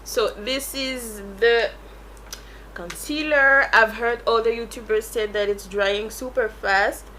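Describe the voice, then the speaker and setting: Slow-paced French woman, rambling makeup chat. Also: a little high, fairly even intonation, sharp tone, a little hiss or hum. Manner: rambling, searching for English words. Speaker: beauty YouTuber. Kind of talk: casual makeup tutorial chat.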